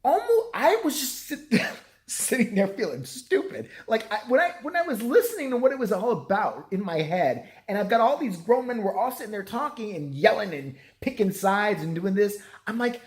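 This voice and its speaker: Hoarse tired man rambling late at night. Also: high voice, big pitch swings, moderate pace. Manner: rambling, swears a lot, self-deprecating. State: hoarse, voice nearly gone from yelling. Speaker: vlogger.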